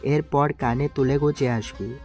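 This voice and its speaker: A man's voice: medium pitch, fairly even intonation, measured pace, a little mellow, faint music behind, close to an everyday voice. A man playing a cold, mocking young mafia boss in an audio drama, speaking in a commanding way. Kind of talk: Bengali audio story acting.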